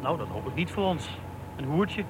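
An actor playing a blunt, wisecracking police detective in a casual radio play. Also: male voice, a little high, animated, normal speed, close to an everyday voice. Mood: dry, wry. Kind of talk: naturalistic radio play dialogue. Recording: noisy street and vehicle ambience.

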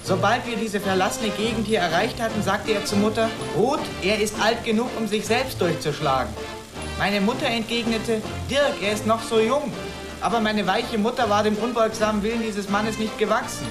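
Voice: mid-range voice, moderate pace, natural voice. An actor playing a polite, plucky twelve-year-old boy in a radio drama. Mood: earnest storytelling. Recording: music behind the voice.

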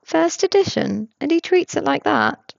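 A nosy, chatty man with a raised, eager voice, prying with question after question, as a woman reader puts him on. The sound is somewhat gravelly and close, on a slightly dull recording. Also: sits high, big pitch swings, unhurried, no echo, pitched above the performer's own voice, exaggerated voice. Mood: curious, pleased.

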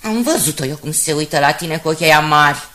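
A woman's voice: sits low, natural voice. An actress playing a jealous, bitter middle-aged wife in a radio drama, accusing insistently and repeating her words. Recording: hissy 1980s radio drama recording.

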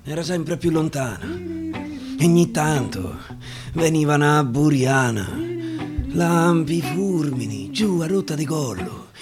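Narratore romano teatrale, dal ritmo lento. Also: male voice, on the high side, big pitch swings, slow pace. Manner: dramatic, swinging between hushed and declaimed. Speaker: actor, storyteller. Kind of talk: theatrical dialect verse storytelling. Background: music.

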